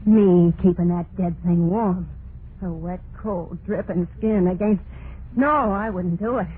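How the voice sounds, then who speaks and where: An actress playing a terrified, unhinged wife in an old-time radio horror monologue, halting and overwrought, full of guilt and dread. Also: female voice, fairly low pitch, slightly rough. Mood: horrified, then frantic defiance. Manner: halting, overwrought, drawn-out dramatic delivery. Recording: noisy narrow-band vintage radio recording.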